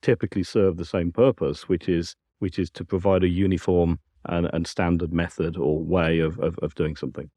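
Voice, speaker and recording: A middle-aged or older man with a British accent, answering interview questions in a measured, explanatory way with some hesitant repetition. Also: low-pitched, flat delivery, warm tone, dry recording. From United Kingdom. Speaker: technical director, interview guest.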